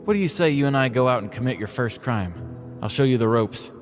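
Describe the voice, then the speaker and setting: A man's voice: medium pitch, lively intonation, a little fast, pitched above the performer's own voice, natural voice. A brash, freeloading slob of a woman from an audio story, put on by a male narrator. The delivery is blunt and casual, careless about everyone else, over a music bed. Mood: scheming.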